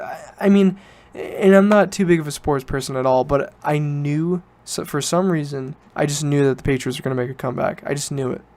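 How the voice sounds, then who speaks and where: Clear-voiced young man rambling casually. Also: a little high, a little mellow. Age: late teens. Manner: informal, self-correcting, loosely rambling. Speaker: podcast host. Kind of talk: casual solo podcast chat, rambling storytelling.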